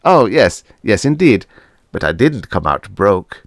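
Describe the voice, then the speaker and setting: A man's voice: on the low side, big pitch swings, measured pace, no echo, studio quality, voice pushed up, full character voice. A man voicing a shifty older mad doctor in a science fiction audiobook, fussy, theatrical and patronizing. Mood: grandly boastful.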